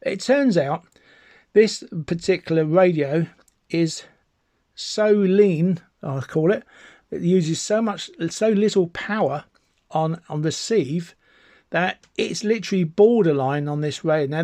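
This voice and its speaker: Higher-voiced British man, chatty hobbyist demo. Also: a little slow, a little mellow, dry recording. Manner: chatty, enthusiastic, rambling. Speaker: amateur radio enthusiast presenting a video. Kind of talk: informal product demonstration to camera.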